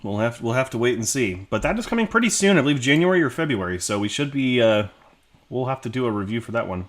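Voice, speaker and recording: A man speaks as a podcast co-host in a casual film discussion. His pitch is middling for a man. He talks in an informal, chatty way, like someone swapping opinions with a friend. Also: animated, fast talker.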